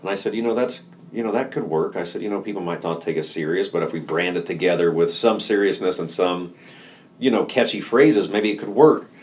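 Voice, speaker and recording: A man talking casually in an interview as a lawyer, relaxed, laughing easily and restarting his sentences. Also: deep voice, brisk, slight background noise. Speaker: lawyer, firm co-founder.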